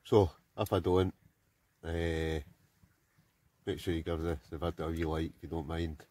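Scottish man, 40s, very deep voice, mellow, rambling casual vlog, outdoors, phone at arm's length. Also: flat delivery, moderate pace, dry recording. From Scotland. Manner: chatty and rambling, many fillers. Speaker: vlogger. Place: outdoors by a river, then inside a tent. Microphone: phone camera at arm's length.

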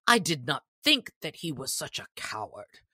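A woman voicing a haughty, quick-tempered male sheriff from a storybook, speaking in pompous archaic phrases. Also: deep voice, big pitch swings, bright, forceful tone, breathy edge, dry recording, clean studio sound, exaggerated voice. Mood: brooding.

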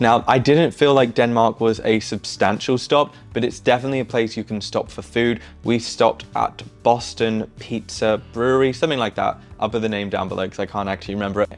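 A young man giving enthusiastic, chatty travel tips to camera while seated in a small living room. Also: medium pitch. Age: young adult. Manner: easygoing, enthusiastic, chatty with fillers. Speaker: travel vlogger. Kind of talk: casual travel recommendations to camera.

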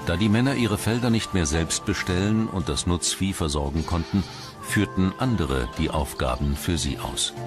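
A man reading scripted documentary narration in a measured, formal manner. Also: low voice. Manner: measured, formal read delivery. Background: background music.

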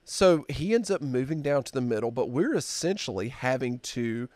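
A man in his fifties hosting a podcast, telling a story in a halting, drawn-out way with many fillers, at a desk mic in a dark studio. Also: mid-range voice, expressive, no room sound. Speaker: podcast host. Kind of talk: casual storytelling chat.

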